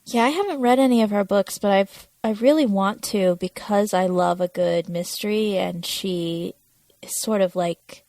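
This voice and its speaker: Female podcast co-host, casual delivery, mid-pitched creaky voice, dry close recording. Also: normal speed, a little mellow, no echo. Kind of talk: casual chat about a TV episode.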